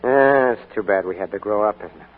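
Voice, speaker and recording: A man's voice: fairly low pitch, natural voice. A man playing a hesitant young war veteran. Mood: wry. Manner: hesitant, halting, stammering. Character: young man back from war, bitter and withdrawn. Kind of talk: 1940s radio acting. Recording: old lo-fi radio broadcast.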